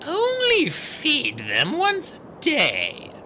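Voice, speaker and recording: A cold, regal goddess of magic, as a man puts her on in an audiobook, speaking with slow, aloof authority. Her voice sits high and climbs and falls widely. It comes across bright and clear. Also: huge pitch swings, slight background noise, exaggerated voice. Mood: commanding. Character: haughty ancient goddess of magic, female.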